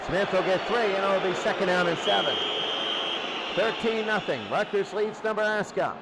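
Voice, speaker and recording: High-pitched male football announcer over loud noise. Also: normal speed. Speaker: play-by-play sports announcer. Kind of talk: American football radio commentary. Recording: loud steady noise behind the voice.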